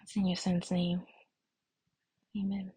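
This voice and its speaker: Slow, husky woman guiding meditation. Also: fairly low pitch, completely flat delivery, mellow, no room sound. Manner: soothing, unhurried, with long pauses. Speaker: meditation instructor. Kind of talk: guided meditation with prayer.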